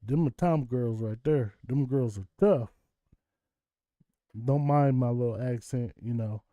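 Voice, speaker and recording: A man's voice: mid-range voice, unhurried, no echo, phone-quality sound. A man with a Southern US accent, rambling casually to his viewers in a monologue and chuckling often. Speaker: vlogger.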